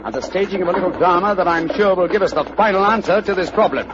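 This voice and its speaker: An actor playing a brisk, confident gentleman detective who teases his friend, in 1940s radio acting. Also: high-pitched, over loud music, natural voice. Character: brisk, sharp-witted gentleman detective, man. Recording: dull, hissy old radio recording.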